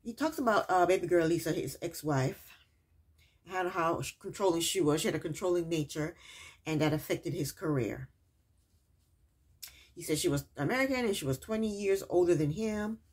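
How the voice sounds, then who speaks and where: A woman's voice: on the low side, moderate pace, a little mellow, slightly rough. A woman recapping a reality TV episode in a casual, chatty way, with sarcastic asides and repeated punchlines.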